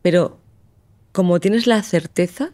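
Animated woman, dry close sound. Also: lowish voice, a little slow, no echo. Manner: animated, emphatic, thinks out loud. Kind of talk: casual conversation.

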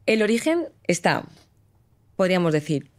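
A woman's voice: on the low side, big pitch swings, normal speed. A woman hosting a conversation, supportive and explanatory. She builds her points step by step and checks in with her guest. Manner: supportive, explanatory, speaks of neuroscience and energy. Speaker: host. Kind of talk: conversational interview.

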